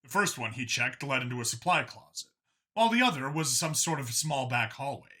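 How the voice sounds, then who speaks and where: A man's voice: medium pitch, expressive, a little fast, pushed and bright. A man narrating a scripted science fiction story as an audiobook reader.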